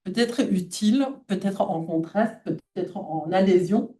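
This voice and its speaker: A woman's voice: mid-range voice, unhurried, warm tone. A woman who is not a native French speaker, giving a formal academic lecture read aloud from a prepared text. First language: not French (non-native speaker).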